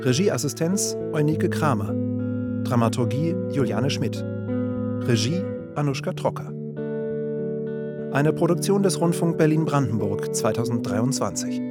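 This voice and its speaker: A man's voice: medium pitch, expressive, measured pace, long pauses. A man reading scripted radio play credits as an announcer. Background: plucked guitar music.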